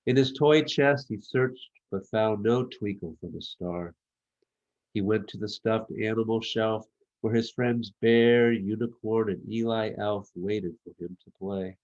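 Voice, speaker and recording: Unhurried man reading a children's storybook. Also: lowish voice, muffled recording. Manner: expressive, measured storytelling. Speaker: author reading his own children's book. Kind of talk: storybook narration.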